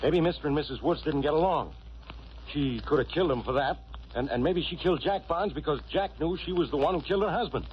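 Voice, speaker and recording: An actor playing a gruff, sarcastic, suspicious police inspector in 1940s radio drama style. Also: male voice, fairly high pitch, fast talker, lightly acted. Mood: blustering, musing. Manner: blustering, sarcastic. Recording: muffled old radio recording, steady hiss.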